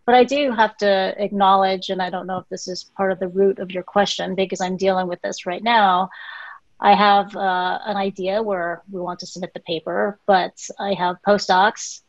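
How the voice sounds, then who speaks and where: A woman's voice: mid-range voice, steady tone, moderate pace, dry recording. Middle-aged female editor on a video panel, earnest and reflective, dry and dull audio. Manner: earnest, reflective, trailing into quiet asides. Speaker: journal editor. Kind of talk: panel discussion. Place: small home office. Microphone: video call with earbuds.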